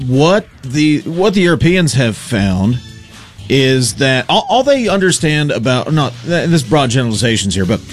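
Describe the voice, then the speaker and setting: A man's voice: medium pitch, sing-song, faint music behind. A man co-hosting a talk radio show, reading sports scores and trading wry jokes. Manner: wry, joking, reads sports scores briskly. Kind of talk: casual talk radio banter and news rundown.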